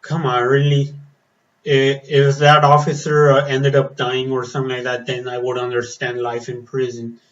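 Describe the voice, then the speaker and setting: A man reacting in a casual vlog, slightly raspy from the cold, pausing often with fillers and restarts. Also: mid-range voice, monotone, a bit bright, highs cut off. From Spain. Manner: hesitant, many fillers and restarts. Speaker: metal vlogger. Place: outdoors in the cold.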